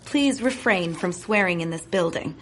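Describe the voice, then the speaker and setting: An actress playing a prim, stern office secretary in a radio drama, formal and reproving. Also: female voice, on the low side, animated, moderate pace, a little hiss or hum, lightly acted.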